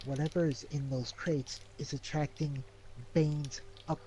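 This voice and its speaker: Male tabletop player, slow and deliberate, faint noise behind him. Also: on the high side, little pitch movement, warm tone, poor audio. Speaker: player in a tabletop role-playing game. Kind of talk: casual in-character game play. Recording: background noise with keyboard typing.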